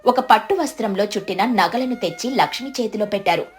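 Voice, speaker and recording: A woman's voice: medium pitch, fast, soft background music. A woman narrating a scripted moral story as a storyteller.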